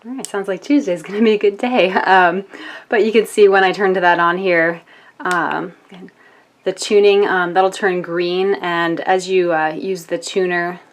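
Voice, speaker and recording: Low-pitched American woman demonstrating a product. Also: steady tone, unhurried. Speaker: product reviewer. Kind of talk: casual product demonstration narration.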